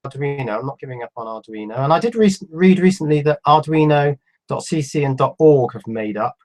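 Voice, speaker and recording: A man in his fifties with a cold, reading out viewer questions on a live stream and answering them in a relaxed, halting way. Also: mid-range voice. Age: 50s. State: has a cold. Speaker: electronics YouTuber answering live chat. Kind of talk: casual live stream chat.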